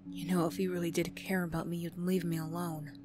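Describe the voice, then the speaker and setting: A woman playing a defensive teenage school bully girl who reluctantly opens up, prickly and halting, in an emotional ASMR roleplay. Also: fairly low pitch, level tone, close to an everyday voice. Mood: grudging, sullen. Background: music.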